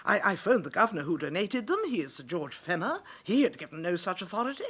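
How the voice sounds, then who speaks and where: Haughty English matron, swooping delivery. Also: female voice, on the low side, very animated, fast talker, fairly bright tone, slightly rough, heavily acted. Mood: formal, put out. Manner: precise, formal, indignant. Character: indignant, haughty matron of an old people's home. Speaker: care home matron, theft victim. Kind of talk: British radio drama acting.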